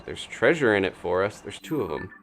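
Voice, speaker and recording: A man's voice: sits low, sing-song, fairly quick, slight background noise. A man giving casual live gameplay commentary, chatting and thinking aloud in unfinished asides. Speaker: video game streamer.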